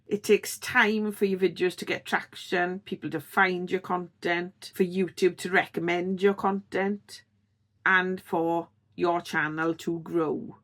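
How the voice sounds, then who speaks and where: A woman's voice: on the low side, steady tone, takes it slowly, fairly bright tone. A middle-aged woman with a strong Welsh accent, talking straight to camera as the host of her own tips channel in an encouraging, conversational way. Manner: encouraging, conversational, checks in with "okay?". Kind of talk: direct-to-camera tips video.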